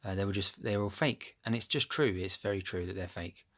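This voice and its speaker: Low-voiced man rambling to camera. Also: a little fast, a bit bright. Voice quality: low, deep voice. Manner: rambling, loses his thread with many ums. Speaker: vlogger. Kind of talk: casual chat to camera. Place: at home, upstairs room. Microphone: phone camera held close.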